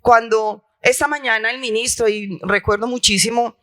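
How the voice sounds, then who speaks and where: A woman's voice: a little high, fairly even intonation, moderate pace, a bit bright. Impassioned woman on a panel. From La Guajira, Colombia. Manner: impassioned, emphatic, with rhetorical repetition. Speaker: health insurer leader on a panel. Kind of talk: conference panel talk. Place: conference hall.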